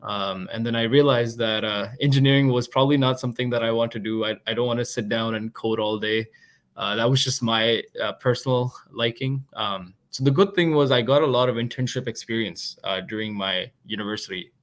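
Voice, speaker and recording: A man's voice: mid-range voice, a little fast. Brief: young man in his twenties, guest on a podcast, laid-back and chatty, mid-range voice. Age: 20s. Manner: relaxed, chatty storytelling. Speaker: guest, product manager. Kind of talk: casual interview talk.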